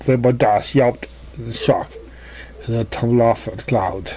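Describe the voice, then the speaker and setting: A man narrates a written story in a mellow, relaxed voice. His pitch is average and his reading is a little halting, like an amateur working through the text. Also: a little hiss or hum. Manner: halting reading with misread words. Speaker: story reader. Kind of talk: scripted narration.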